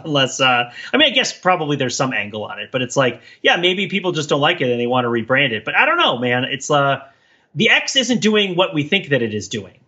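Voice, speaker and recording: Male podcast co-host with a bright, forward voice, digressive casual delivery, slightly dull audio. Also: medium pitch, sing-song, a little fast. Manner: rambling, digressive. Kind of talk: casual pop-culture discussion.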